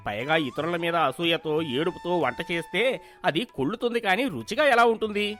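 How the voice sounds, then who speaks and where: Exasperated adult son, acted by a man, sharp lively delivery, blunt and scolding, village storybook, music behind. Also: high-pitched, heavily acted. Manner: scolding, reproachful. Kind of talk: animated moral story voice acting.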